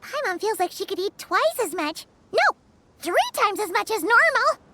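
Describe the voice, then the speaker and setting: Squeaky, gluttonous little fairy. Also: female voice, sing-song, moderate pace, sharp tone, slight background noise, heavily acted. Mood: greedy delight. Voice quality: squeaky, childlike. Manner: refers to herself in third person, exclamatory. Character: tiny gluttonous floating fairy companion, childlike, excitable. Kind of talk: video game cutscene acting.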